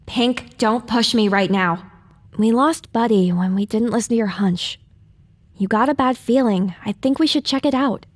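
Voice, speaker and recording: A woman's voice: on the high side, moderate pace, no room sound, lightly acted. A woman playing a small, headstrong, curious pony mare in an audio drama, feisty, argumentative and sarcastic. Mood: upset, firm.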